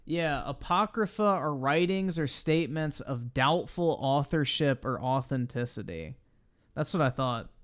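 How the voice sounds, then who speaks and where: A man's voice: mid-range voice, expressive, a little slow. A man livestreaming casual reaction commentary in a blunt, profane manner.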